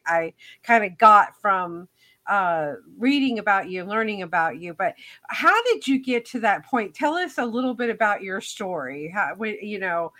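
A woman's voice: mid-range voice, a bit bright. A woman hosting a radio talk show, chatty and effusive as she welcomes and questions her guest. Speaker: host.

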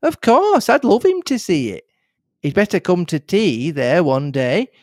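A man's voice: sits high, very animated, unhurried, a little mellow, dry recording, clean studio sound, voice pushed up, exaggerated voice. A man voicing a shy, earnest young woman in a storybook reading, with a girlish, hesitant delivery. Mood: eager.

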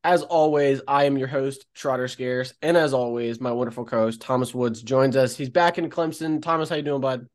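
A man hosting a college sports podcast, talking in an upbeat, energetic way. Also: medium pitch, moderate pace, hardly any pauses. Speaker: podcast host. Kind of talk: casual sports podcast chat. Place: Columbia.

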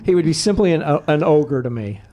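A man's voice: on the high side, expressive, brisk, warm tone. Radio host guy, chatty and teasing, laughs a lot, studio mic. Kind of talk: casual radio studio chat.

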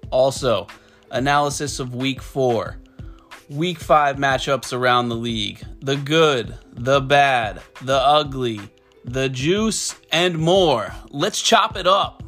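A bright-sounding man hosts a casual football podcast, chatting easily with his wife. He talks in a relaxed way, with frequent ums and restarts. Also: mid-range voice, slow pace, faint music behind. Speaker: podcast host. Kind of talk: casual sports talk podcast.

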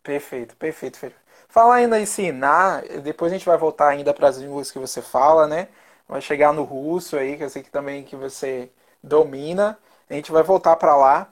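A man's voice: fairly high pitch, normal speed. Chatty man interviewing. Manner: chatty, frequent tag questions. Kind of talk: casual interview chat.

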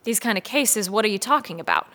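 A woman's voice: medium pitch, animated, quick pace, bright, forceful tone. An actress playing a guarded married mother in an audio drama, curt and defensive as she is questioned. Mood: indignant. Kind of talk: scripted audio drama dialogue.

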